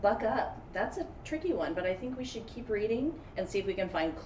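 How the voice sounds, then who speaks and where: A woman teacher reading a story aloud to young children in an expressive, encouraging, dramatic way, with friendly chat in between. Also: medium pitch, brisk. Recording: roomy echo, distant mic, faint noise.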